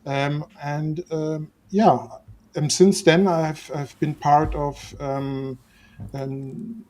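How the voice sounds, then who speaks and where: A man's voice: fairly high pitch, steady tone, very slow, darkish tone. Hesitant man from Spain, many restarts. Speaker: guest, agency owner. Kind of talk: livestream guest intro.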